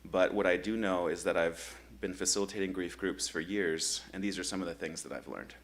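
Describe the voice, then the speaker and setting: Deep-voiced guy running a church grief group, laid back, lots of ums. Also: brisk. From Michigan. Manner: relaxed, conversational, many ums. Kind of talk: church workshop presentation. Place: church meeting room.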